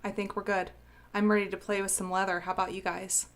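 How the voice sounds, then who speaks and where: A woman's voice: mid-range voice, level tone, fast. A woman hosting a casual craft live stream, bubbly and sniffly from a cold, greeting viewers by name.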